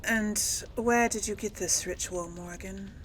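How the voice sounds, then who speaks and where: A woman's voice: mid-range voice, a little slow, a little hiss or hum, poor audio. A woman hosting a casual role-play game playthrough, thinking aloud and hesitating as she goes. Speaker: video game player and series host.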